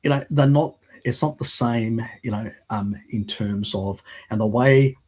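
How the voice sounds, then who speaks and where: A man, explaining things in a rambling, halting way on a video call, with a slight lingering croak from a cold. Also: mid-range voice, a little mellow. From Australia. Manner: rambling, halting with fillers and restarts. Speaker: guest, works in mapping. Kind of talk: casual live-stream chat.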